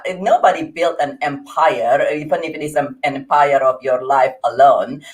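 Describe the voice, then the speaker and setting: A woman in her forties with an Indonesian accent, a guest in an interview, speaking earnestly with frequent laughs and halting restarts. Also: sits low, rough recording. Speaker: interview guest, grief coach. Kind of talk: conversational interview.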